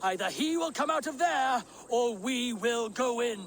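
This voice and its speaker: An actor playing a fearful, zealous Saxon monk in a video game, speaking gravely and fervently. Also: male voice, extremely high pitch, expressive, unhurried, a bit bright, a little hiss or hum, full character voice. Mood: grave warning. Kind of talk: video game cutscene acting.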